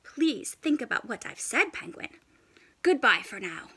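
A woman's voice: fairly high pitch, very animated, bright, forceful tone, slightly rough, pitched below the performer's own voice, heavily acted. A woman voicing a wise, courteous old walrus in a storybook, speaking in a formal, earnest and slightly pompous way. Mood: solemn, encouraging. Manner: stately, formal, measured, earnest.

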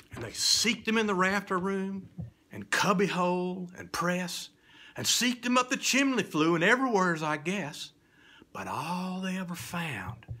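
A middle-aged man reciting a children's poem like a spooky storyteller, swinging between hushed whispers and loud cries. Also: fairly high pitch, husky. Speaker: storyteller reading children's poetry. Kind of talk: dramatic theatrical poetry recitation for children.

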